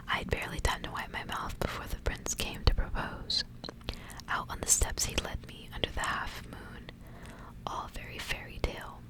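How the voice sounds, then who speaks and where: Whispering woman reading ASMR. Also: low-quality recording. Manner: whispering throughout, soft and breathy. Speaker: ASMR reader and channel host. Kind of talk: whispered ASMR storybook reading with casual asides.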